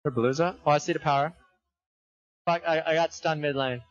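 Excitable man over muffled voice chat. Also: fairly high pitch, a little fast, dry recording. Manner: excitable, loud outbursts, laughs. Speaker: teammate on voice chat. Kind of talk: casual gaming chat.

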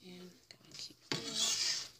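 A woman's voice: mid-range voice, steady tone, slow. A young American woman chattily talking through a casual cooking demonstration, step by step, in a home kitchen. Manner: chatty, informal, narrating each step. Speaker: home cook, vlogger. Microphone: fixed camera on the counter. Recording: very noisy home kitchen recording with clatter. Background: kitchen clatter, people in the background.

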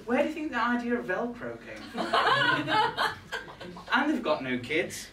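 A man's voice: very high-pitched, huge pitch swings, a little slow, recorded from a distance, exaggerated voice. A young man playing a catty, resentful reindeer in a comic monologue, indignant and gossipy, with arch asides to a live audience. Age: young adult. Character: catty, resentful male reindeer grumbling about Santa's crew. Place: small pub room with a live audience. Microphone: far camera. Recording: echoey room from afar, background noise.

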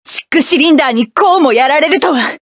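A proud hot-tempered mecha pilot. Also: female voice, high-pitched, animated, fairly quick, no echo, studio quality. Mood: grim, determined. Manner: sharp, commanding, clipped battle barks. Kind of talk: video game battle voice lines.

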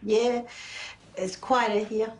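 A woman's voice: fairly high pitch, steady tone, slow pace, a little mellow. An actress playing a blunt, tender-hearted middle-aged Australian woman in a naturalistic film drama. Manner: blunt, swearing, colloquial. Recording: music laid over the dialogue.

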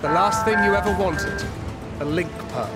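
A man's voice: high voice, normal speed, music in the background, close to an everyday voice. An actor playing a young male adventurer in a video game, with a wry, teasing manner. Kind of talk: video game cutscene acting.

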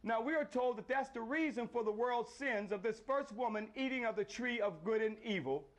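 A man in his fifties teaching a group outdoors by an ancient temple wall, often pausing to quiz his listeners for answers. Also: very high voice, background noise. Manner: questions the group to draw answers. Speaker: lecturer leading a tour.

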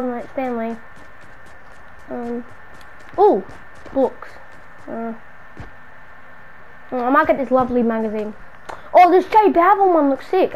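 A woman's voice: high voice, slight background noise, low-quality recording. A woman playing an exasperated, slangy city dweller hounded by a stalker friend in an improvised video game roleplay. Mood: chatty. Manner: slangy, muttering to self, then scolding.

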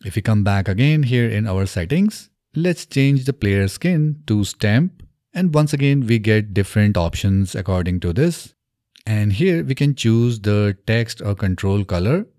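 A man presents a software walkthrough step by step in a mellow, low voice. His intonation rises and falls freely. He speaks close to the microphone in a small indoor studio. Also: animated, measured pace, no room sound. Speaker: tutorial presenter. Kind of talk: step-by-step software tutorial narration. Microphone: close desk microphone on an arm.

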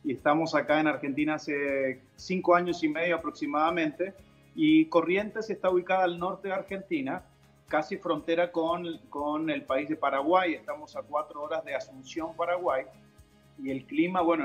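A middle-aged man speaks in a fairly high voice over faint music. He sounds like a guest chatting casually in an interview. He is on a video call from a room at home. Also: steady tone, normal speed, dull audio. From Chile. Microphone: video call device microphone.

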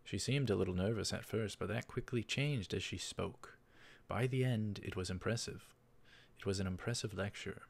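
A man's voice: fairly low pitch, normal speed, vocal fry. A young man hosting a let's play, reading visual novel text aloud and adding casual commentary. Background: game music.

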